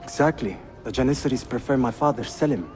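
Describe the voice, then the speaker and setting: A man's voice: mid-range voice, quick pace, darkish tone, soft background music, natural voice. A man, playing a courteous, shrewd seventeen-year-old Ottoman prince in a video game, composed and assured. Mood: composed, earnest. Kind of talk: cinematic game acting.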